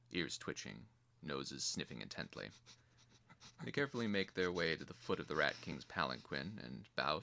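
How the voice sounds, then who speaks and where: A man narrating a screenplay as a dramatic reading, in a measured, theatrical manner. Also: rumbling low, bright, forceful tone.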